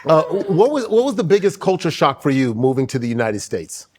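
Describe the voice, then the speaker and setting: A man's voice: on the high side, big pitch swings, fairly quick, darkish tone. A man hosting a casual interview, asking curious, earnest questions. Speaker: host.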